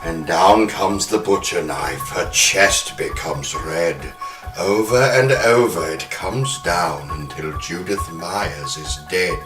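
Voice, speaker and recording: Very deep-voiced male horror podcast host. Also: takes it slowly. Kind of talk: horror podcast talk and dramatic storytelling narration. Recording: roomy, music behind.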